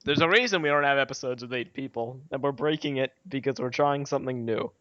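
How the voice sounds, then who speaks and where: A man's voice: medium pitch, fast, a bit bright, dry recording. Man hosting a casual podcast, muffled audio. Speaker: podcast host. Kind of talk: casual group podcast chat.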